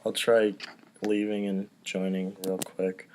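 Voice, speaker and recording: A man's voice: lowish voice, flat delivery, slow, mellow, slight background noise, rough recording. A man narrating stage directions at an amateur table read in a casual, informal way. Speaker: podcast cast member reading stage directions.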